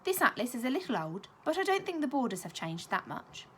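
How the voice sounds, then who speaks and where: A patient, affectionate mother in a children's storybook, voiced by a woman reading aloud. She talks softly and reassuringly, her lively pitch rising and falling, with faint ambience underneath. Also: brisk, a little hiss or hum. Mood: gentle.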